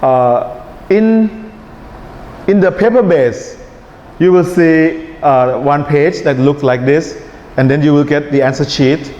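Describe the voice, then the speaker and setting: A man's voice: fairly high pitch, slow. Thai-accented male teacher in an echoey hall. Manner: relaxed, checks in with "right?" and "okay?". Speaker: IELTS writing teacher. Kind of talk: classroom lecture. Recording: echoey hall, dull sound, faint hiss.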